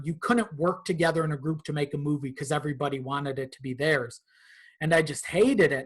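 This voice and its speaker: A man's voice: a little high, a bit bright. A man speaking informally as a podcast guest, in a casual conversation peppered with swearing. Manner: informal, profane.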